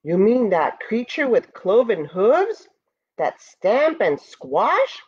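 Huge cowardly storybook boar woman reader: pompous excuses, very animated. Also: fairly high pitch, slow pace, husky, dull audio, full character voice. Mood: whimpering, fearful. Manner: blustering, apologetic. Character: huge boar, self-important but secretly cowardly. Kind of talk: children's storybook character reading.

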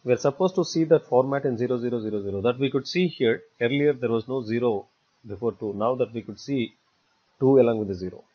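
A man narrating a step-by-step software tutorial in a halting way, repeating words as he goes. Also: mid-range voice. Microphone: voice-over recorded for screen capture. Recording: dull, muffled lo-fi voice recording.

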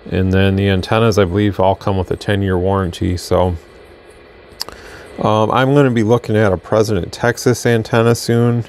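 A man's voice: on the low side, steady tone, moderate pace, a little mellow, a little hiss or hum. An American man giving a casual product demo as a reviewer, talking in a rambling, conversational way. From Michigan, United States. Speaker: radio reviewer.

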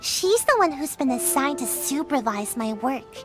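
A woman's voice: high voice, sing-song, moderate pace, faint music behind. A woman voicing a sleepy, lazy little girl ninja who whines and pleads. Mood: drowsy. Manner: drowsy, whiny, pleading. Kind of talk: game voice acting. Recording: game score behind.

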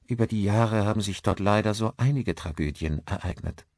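A man's voice: fairly low pitch, a little fast, darkish tone, dry recording, studio quality, voice pushed up, lightly acted. A man voicing a chatty village woman who tells of local tragedies in an audiobook. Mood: wistful, chatty.

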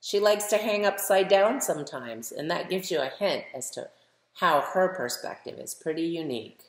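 A middle-aged American woman, a children's author, reads a picture book aloud and speaks directly to young listeners with emphatic, animated delivery. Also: fairly low pitch, moderate pace. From United States. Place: medium living room at home, indoors. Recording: roomy, echoey home recording.

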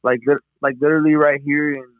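A man's voice: fairly high pitch, flat delivery, fast, dry recording. A young man hosting a podcast, talking casually and full of slang like "bro" and "hella". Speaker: podcast host. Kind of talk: casual conversation. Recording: tinny, dry phone-like line.

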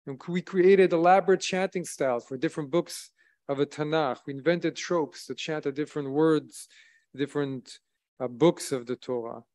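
Man preaching a measured solemn sermon. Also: on the high side, a little mellow. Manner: measured, solemn preaching with rising emphasis on key phrases. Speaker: rabbi. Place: synagogue sanctuary at a wooden lectern, indoors. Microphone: lectern microphone, streamed by video.

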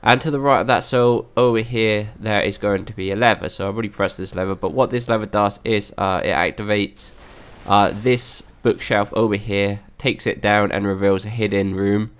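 A man's voice: on the low side, steady tone, normal speed, clean tone. A young man with a slightly worn voice, casually narrating a game walkthrough with many fillers and restarts. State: slightly lost voice.

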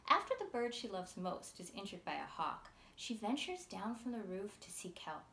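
Woman reading aloud in a roomy space. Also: medium pitch. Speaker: author reading from own book. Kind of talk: read-aloud storytelling. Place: small furnished room indoors. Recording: roomy sound with faint noise.

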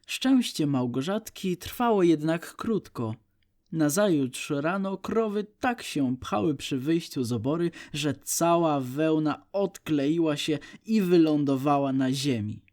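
A man's voice: a little high, animated, takes it slowly, darkish tone, dry recording, clean studio sound. A man narrating an audiobook story for young children.

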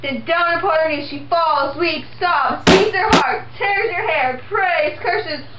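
A woman's voice: extremely high pitch, unhurried, highs cut off, very noisy. A young woman reading several parts in a home puppet show of a classic play, in a plain, earnest way. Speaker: amateur sock-puppet performer. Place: indoor room behind a sheet backdrop.

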